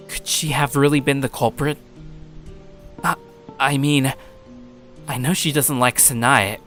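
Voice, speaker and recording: A man's voice: medium pitch, fairly bright tone, lightly acted. A man playing an earnest, troubled teenage schoolboy, telling his own story in dramatic first-person visual novel narration. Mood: troubled, suspicious.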